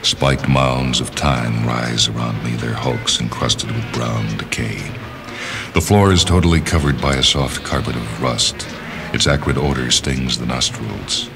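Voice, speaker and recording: An exceptionally deep male voice narrates literary fiction. He phrases each passage in a measured, deliberate way, leaving dramatic pauses. Faint music sits behind the reading. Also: gravelly. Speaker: story reader and narrator. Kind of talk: scripted narration of a literary short story.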